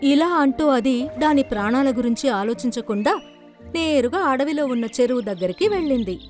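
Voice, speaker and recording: A woman's voice: sits high, animated, moderate pace, darkish tone, soft background music. A woman reading scripted narration for a children's story.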